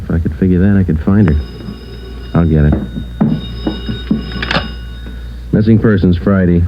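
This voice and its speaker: An actor playing a stoic, businesslike police sergeant with a clipped, deadpan delivery in a 1940s radio crime drama. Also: male voice, low-pitched, brisk, dull audio, close to an everyday voice. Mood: businesslike, dry. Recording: band-limited old radio recording with music.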